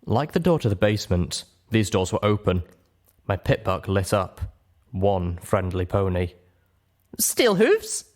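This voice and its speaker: A man's voice: deep voice, a little slow, a little mellow. A man reading a story aloud as narrator, in dramatic first-person audiobook style.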